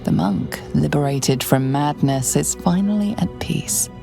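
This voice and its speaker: A woman's voice: sits low, measured pace, creaky, music in the background. A woman narrating a fantasy game as a solemn storyteller. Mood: solemn, hushed. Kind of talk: scripted narration.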